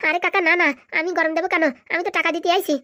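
Woman doing a talkative cartoon dude who wont give up, high voice, super fast. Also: flat delivery, pushed and bright, no room sound, clean studio sound. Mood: pleading. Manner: placating, long-winded. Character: pleading, talkative male visitor, wily and persistent. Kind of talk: comic cartoon dialogue.

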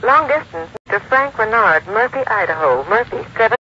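A woman playing a brisk long-distance telephone operator in a 1940s radio drama, with routine operator phrasing. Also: fairly high pitch, lively intonation, sharp tone, soft background music. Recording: dull old radio recording, faint noise.

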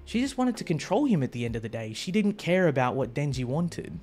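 A man in his thirties streaming a manga reaction, rambling and swearing casually into a close boom mic. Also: big pitch swings, a little fast, darkish tone, soft background music. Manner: rambling, swearing, laughing often. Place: home streaming room.

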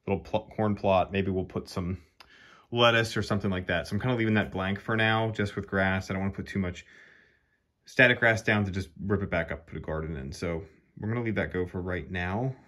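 A man with a deep, low voice talks through his model train scenery step by step. His manner is easygoing, and he thinks aloud as he works. He is recorded on a phone camera in his hobby room. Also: fairly quick. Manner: relaxed, thinking aloud while working. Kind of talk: casual how-to narration.

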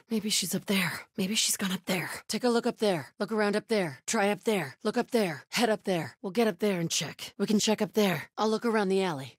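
An actress playing a hostile, watchful cult soldier in a video game, giving terse, commanding search and combat callouts. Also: female voice, mid-range voice, breathy edge, dry recording, studio quality. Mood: hushed suspicion. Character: vigilant, hostile female cult soldier hunting intruders. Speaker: video game enemy character.

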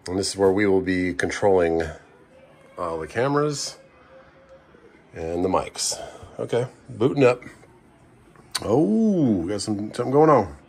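A man in his thirties chatting casually with his family while filming a vlog, playful and lively. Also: on the low side, measured pace, pauses often, slightly rough. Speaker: vlogger, trucker dad. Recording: noisy camera audio.

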